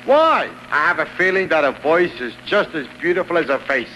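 A man's voice: on the high side, sing-song, a little fast. An actor playing a blunt, smitten moneyman bankrolling a show in a 1950s radio comedy. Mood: bossy, smitten. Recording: noisy old radio broadcast.

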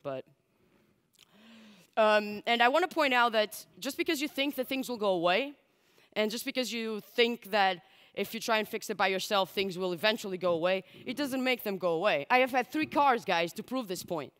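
A woman's voice: medium pitch, lively intonation, normal speed, fairly bright tone. Chatty woman speaker in a reverberant hall. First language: Portuguese. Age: 20s. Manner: candid and chatty, full of likes and asides. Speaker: conference speaker. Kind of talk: casual conference talk. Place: large dark stage with audience. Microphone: headset mic.

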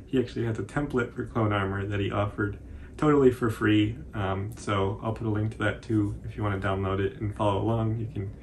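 A man's voice: lowish voice, steady tone, brisk, a little hiss or hum. A man in his twenties with an American accent, chatting casually through a craft tutorial as host. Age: 20s. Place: small indoor room at home, on a couch.

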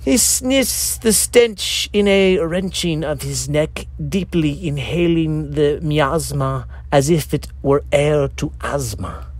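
A man reading a darkly comic, macabre story in a theatrical, deliberate manner, as the author narrating his own work. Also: on the high side, no room sound. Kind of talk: scripted dark-comedy story narration.

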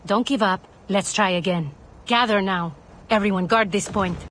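Casting: actress as young female game soldier, tough and determined, mid-pitched, clipped commands over ambient noise. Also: moderate pace, fairly bright tone, close to an everyday voice. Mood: brisk, focused. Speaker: playable operator in a mobile shooter. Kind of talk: video game combat voice lines.

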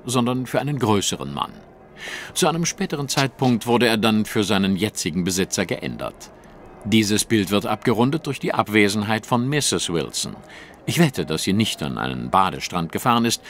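A man's voice: on the low side, fairly bright tone, slight background noise. An actor playing a shrewd, haughty male detective in an audio drama, speaking in a precise, formal, lecturing way. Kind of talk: German audio drama acting.

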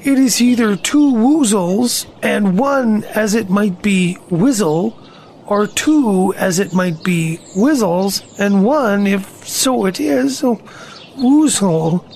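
A woman voicing a gentle, earnest, slow-witted male bear in a children's audio drama, musing aloud in a ponderous, solemn way. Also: mid-range voice, expressive, a little hiss or hum. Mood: puzzled.

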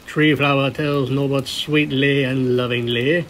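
A man's voice: medium pitch, steady tone, a little slow, fairly bright tone, a little hiss or hum. A man narrating a scripted story as a fan fiction reader.

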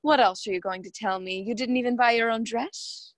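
A woman's voice: fairly high pitch, a little fast, dry recording, studio quality, close to an everyday voice. A young woman acting in a radio play as a scheming, haughty society hostess, condescending and taunting. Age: young adult.

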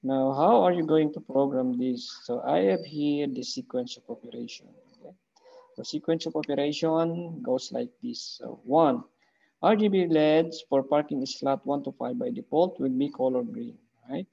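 A man's voice: mid-range voice, normal speed, gravelly, mellow, husky. A middle-aged man hosting his channel, methodically walking through a screen-shared project and checking in with "okay?" as he goes. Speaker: channel host demonstrating an electronics project. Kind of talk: screen-share technical walkthrough. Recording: dull, muffled lo-fi audio.

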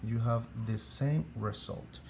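A man with a Spanish accent, Spanish his first language, teaching an electrical calculation slowly step by step, halting and restarting phrases as he searches for English words. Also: mid-range voice, creaky, darkish tone, slight background noise. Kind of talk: slow step-by-step tutorial.

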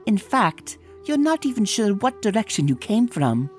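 A woman's voice: medium pitch, sing-song, moderate pace, darkish tone, lightly acted. A woman voicing an earnest young zebra filly as a game master in a cartoon comic dub. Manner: storytelling, earnest. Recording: music behind the voice.

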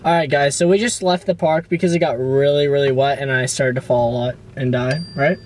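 A man's voice: mid-range voice, fairly even intonation, fairly quick, distant mic, slight background noise. A teenage guy talking casually to a handheld camera in a car at night, upbeat and rambling with lots of fillers. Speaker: vlogger. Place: inside a parked car at night. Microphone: handheld camera at arm's length.

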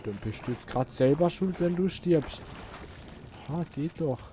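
A man's voice: fairly high pitch, a little slow, dark tone, slight background noise. A man giving casual, grumbling let's play commentary as he plays a video game. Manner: grumbling, mild swearing at the game.

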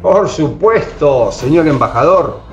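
A man's voice: on the high side, expressive, takes it slowly. A man plays a compliant, servile male president in a radio drama recorded over a video call, answering in a deferential way. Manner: deferential, agreeable. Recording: echoey room, dull lo-fi audio, faint music.

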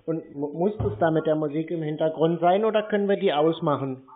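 Higher-pitched man, naive deadpan, phone sound. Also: fairly quick, narrow, tinny audio. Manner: feigned naive, deadpan questioning. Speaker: prank caller baiting phone scammers. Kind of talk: casual phone conversation.

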